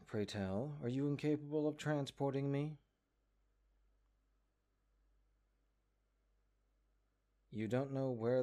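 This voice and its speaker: A man's voice: mid-range voice, dark tone, close to an everyday voice. A man voicing a haughty, exasperated magic book in a comedic audio roleplay, condescending and sarcastic. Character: exasperated, haughty talking cursed grimoire, a sentient magic book.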